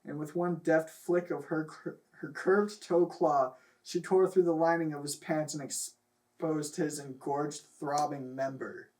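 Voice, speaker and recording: A man's voice: a little high, steady tone, measured pace, darkish tone, poor audio. A man reading fan fiction aloud and chatting casually about it, with a loose, sweary delivery. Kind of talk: reading a story aloud, then casual chat.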